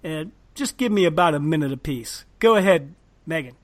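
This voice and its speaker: A man's voice: fairly high pitch, expressive, moderate pace, a little hiss or hum. A man hosting a casual interview, speaking in an encouraging, affirming manner. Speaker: host.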